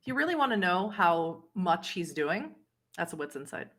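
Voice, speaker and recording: A woman's voice: fairly low pitch, very animated, normal speed, fairly bright tone. A woman acting as game master, narrating and refereeing a tabletop roleplaying game.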